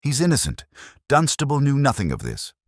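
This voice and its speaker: A man voicing a frightened, haggard clerk in an audio mystery, pleading in a hoarse, halting, stammering way. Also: mid-range voice, huge pitch swings, no echo, clean studio sound, voice pushed up. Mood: desperate pleading. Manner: stammering, trembling, desperate.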